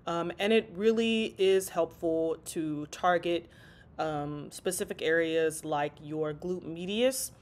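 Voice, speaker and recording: A woman's voice: on the low side, slow, darkish tone. A stuffy, congested woman chatting casually through a workout voice-over, calling her viewers y'all. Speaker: fitness vlogger.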